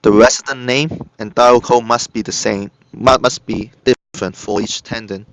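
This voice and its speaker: A man narrating a step-by-step software tutorial in short, halting instructional phrases. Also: medium pitch, fairly even intonation, vocal fry, slight background noise. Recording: dull lo-fi computer recording. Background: screen-recorded tutorial voice-over.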